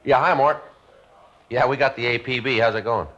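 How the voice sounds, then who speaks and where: A man with an American accent playing a terse, level-headed police sergeant in a 1950s radio drama, speaking in clipped, deadpan sentences. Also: medium pitch, normal speed, close to an everyday voice. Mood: businesslike. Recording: dull, noisy old radio recording.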